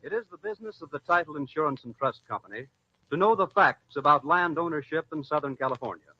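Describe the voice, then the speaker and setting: Male 1940s radio announcer, American, formal scripted sponsor reads, slightly high-set voice, muffled old broadcast. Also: quick pace, a little hiss or hum. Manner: formal, polished delivery. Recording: dull vintage radio broadcast with music.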